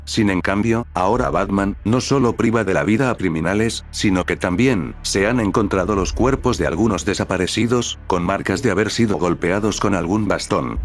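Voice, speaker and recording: Narrador latinoamericano de voz grave. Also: male voice, sits low. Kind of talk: scripted horror recap narration. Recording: background music under the narration. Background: dark music.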